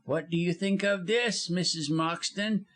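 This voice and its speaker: A man's voice: high voice, a little slow, a bit bright, voice pushed up. A man voicing a cowardly, venomous lean lawyer in an audiobook, with a snarling, gasping, nervous manner. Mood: sly menace. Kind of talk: audiobook character acting.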